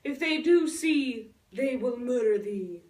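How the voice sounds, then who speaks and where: A lovesick, dramatic teenage girl in a puppet play , voiced by a woman declaims verse in a very high voice at a slow pace. The room echoes heavily around the distant voice. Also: animated, darkish tone. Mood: earnest. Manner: slow, theatrical declaiming with big swells. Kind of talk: Shakespeare recited for a school puppet show. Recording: echoey room, far from the mic.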